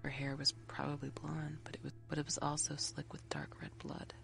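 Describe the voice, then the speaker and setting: A storyteller narrating a scripted horror tale in the first person, with a measured, suspenseful delivery and a creaky voice that turns breathy at the ends of phrases. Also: mid-range voice, sing-song, fairly bright tone, whispered. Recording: music behind the voice. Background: background music.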